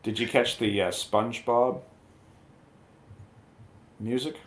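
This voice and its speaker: A man's voice: mid-range voice, fairly even intonation, slow pace. A man on a movie review panel chatting casually and riffing jokingly on pop culture. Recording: clear background noise.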